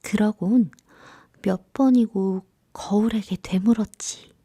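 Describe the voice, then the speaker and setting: A woman storyteller narrating a fairy tale in a gentle, soothing, whispery bedtime style. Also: mid-range voice, fairly even intonation, takes it slowly, breathy edge, dry recording, studio quality.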